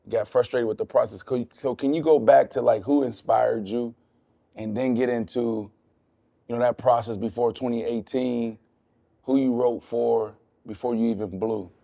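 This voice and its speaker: Male co-host, deep gravelly fry, mellow tone, inquisitive. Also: steady tone, moderate pace. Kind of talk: interview question.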